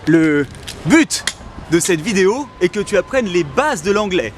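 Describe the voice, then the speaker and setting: A man's voice: high-pitched, very animated, unhurried, noisy background. A man hosting a parody language lesson in a mock-earnest, cheeky manner. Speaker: comedic language teacher host.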